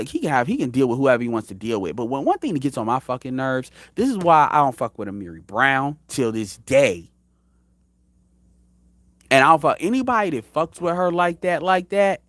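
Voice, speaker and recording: Man in his thirties, host of a commentary show: blunt, profane, rambling, with a lively swinging pitch and a dry close sound. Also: no echo. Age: 30s. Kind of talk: casual opinion commentary.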